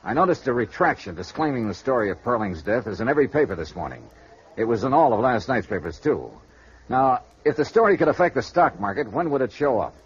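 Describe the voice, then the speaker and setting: Dry-witted hardboiled investigator, lively delivery, muffled old radio sound. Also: male voice, mid-range voice, quick pace, slightly rough. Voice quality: dry, wry, easygoing. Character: wry, tough adult male insurance investigator. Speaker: insurance investigator and narrator. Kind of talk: 1950s radio noir narration. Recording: dull old radio broadcast.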